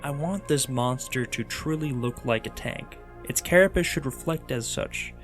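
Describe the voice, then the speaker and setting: Man, mid-pitched voice, theatrical narrator, tongue-in-cheek, scripted comic asides, music behind. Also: normal speed. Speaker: video host, 3D monster artist. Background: background music.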